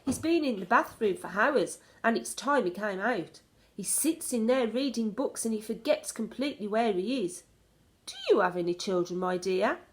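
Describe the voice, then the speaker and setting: A woman's voice: mid-range voice, lively intonation, normal speed, lightly acted. A woman reading a children's storybook, voicing a loving, cool-headed old grandmother with a chatty, affectionate manner. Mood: breezy, composed.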